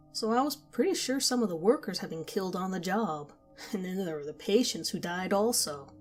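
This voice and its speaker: A woman reading a first-person horror story aloud, in a quiet, measured storytelling voice. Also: medium pitch. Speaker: narrator. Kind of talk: scripted horror story narration.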